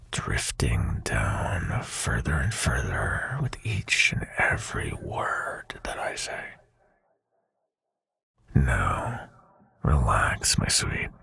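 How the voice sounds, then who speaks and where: A sinister, seductive prince of nightmares murmurs in an extremely deep voice, heavily fried and gravelly. His delivery is slow, breathy and silky, with menacing command. It is an intimate ASMR roleplay performance. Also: sharp tone, no echo, studio quality, exaggerated voice. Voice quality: breathy, gravelly half-whisper.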